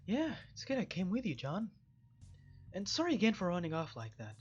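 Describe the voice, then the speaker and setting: A man voicing a brisk, bossy young policewoman in visual novel dialogue, insistent in manner. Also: wildly swooping pitch, breathy edge, dull audio, soft background music, full character voice. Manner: high-pitched, insistent.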